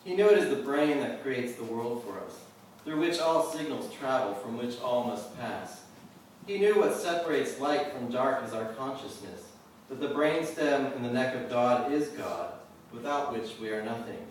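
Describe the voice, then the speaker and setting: A man gives a live poetry reading in a very reverberant room, picked up from some distance. His medium-pitched voice is deliberate on the verse and loosens into offhand, casual talk. There is faint noise behind him. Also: animated. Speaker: poet reading own work. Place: live reading venue, indoors. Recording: roomy echo, distant mic, faint noise.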